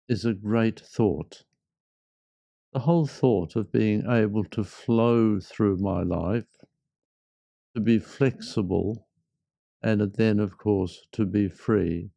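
A man leading a guided meditation in a measured, reflective manner with frequent pauses. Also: on the low side, gravelly, mellow, dry recording.

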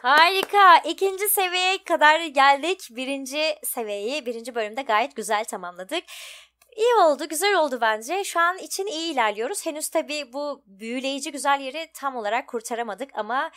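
A woman's voice: sits high, animated, normal speed, a bit bright. A woman giving casual, chatty let's play commentary, thinking aloud as she goes. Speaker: gaming video host.

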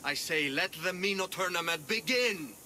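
A vain younger brother warrior in a video game, eager and haggling for credit in a bright, forceful mid-pitched voice. Faint ambience lies behind him. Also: male voice, animated, moderate pace, slight background noise, full character voice.

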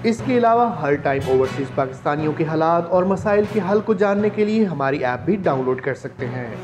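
An upbeat male announcer reads a channel promo in a lively, sing-song voice. His pitch sits a little high and swings widely, while loud music plays beneath him. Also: fairly quick. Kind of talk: promotional voice-over.

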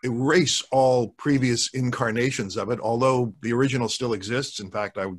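A man in his sixties talking as a podcast guest in a casual, thoughtful, halting way, close to a desk microphone at home. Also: mid-range voice, steady tone. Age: 60s. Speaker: podcast guest, radio show producer. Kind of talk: casual discussion of old radio and film. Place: home room, video call. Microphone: large desk microphone, close.

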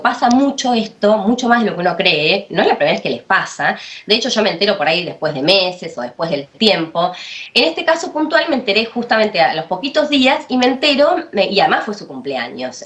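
A woman in her thirties with an Argentine accent, speaking over a laptop video call in a TV news interview. She recounts things in an indignant, halting way, full of fillers and restarts. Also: medium pitch, fairly bright tone. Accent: Argentine Spanish. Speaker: interviewee, sister of the man affected. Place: home room, indoors. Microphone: laptop webcam on video call.

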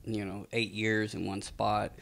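A man's voice: lowish voice, a little slow, vocal fry, a little hiss or hum. A man in his late thirties from Texas, a podcast guest talking casually with lots of fillers, recorded on a studio mic. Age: 30s. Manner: relaxed, rambling storyteller with many fillers. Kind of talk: casual interview talk. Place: small podcast studio indoors. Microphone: studio mic on a boom arm.

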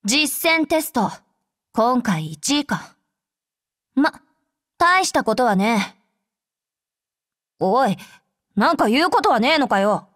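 An actress playing a brash, hot-tempered young female fighter with rough, curt tomboyish speech, in anime game voice lines. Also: on the high side, very animated, slow pace, pauses often, a bit bright, no room sound, studio quality, heavily acted. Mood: gruff, reluctant. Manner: rough, curt masculine speech, easily flustered.

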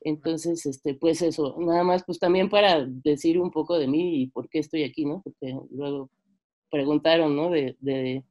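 Mexican woman, twenties, lowish voice, conversational and halting, informal sharing over a video call from home. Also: steady tone, darkish tone, muffled recording. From Mexico, French-Mexican. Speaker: seminar participant, filmmaker. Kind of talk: informal sharing in an online discussion. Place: bedroom, indoors on a video call. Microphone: computer microphone on video call.

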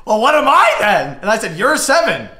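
A young man argues bluntly and emphatically in casual banter. His pitch moves around a lot. The room is very echoey, with faint noise behind him. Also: wildly swooping pitch, a little fast. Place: indoor room with several people.

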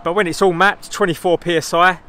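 A man's voice: sits high, steady tone, a little fast, bright, forceful tone, a little hiss or hum. A man filming his own car vlog, talking casually and enthusiastically about engines, turbos and brakes. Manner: enthusiastic, rambling, car jargon. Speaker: car vlogger. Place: in and around cars outdoors at night, engine noise. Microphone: phone camera held by the speaker.

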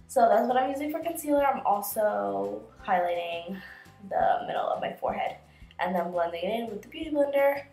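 A woman's voice: medium pitch, lively intonation, fairly quick, gravelly, a little mellow. Chatty young woman with a head cold. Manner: chatty, filler-heavy, explains each step. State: sick with a cold. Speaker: beauty vlogger. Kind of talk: casual makeup tutorial narration. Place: small bedroom. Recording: roomy bedroom echo, faint music behind.

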